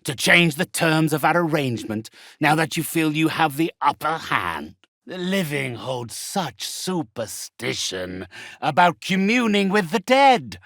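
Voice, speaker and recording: An actor playing a haughty, theatrical undead necromancer in a video game, speaking with pompous, condescending, ornate phrasing. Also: male voice, fairly high pitch, very animated, a little slow, fairly bright tone, no echo, studio quality, exaggerated voice. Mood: disdainful. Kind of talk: video game character acting.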